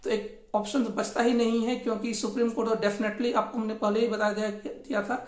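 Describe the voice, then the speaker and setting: A woman's voice: a little high, level tone, brisk. A woman from explains the news informally as a channel host, often circling back over her phrases. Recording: echoey, roomy sound.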